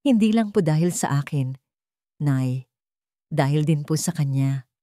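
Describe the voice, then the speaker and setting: A woman's voice: low voice, lively intonation, unhurried, dark tone, no echo, clean studio sound. A Filipino woman voicing a sincere, grateful and resilient young woman in an audiobook drama.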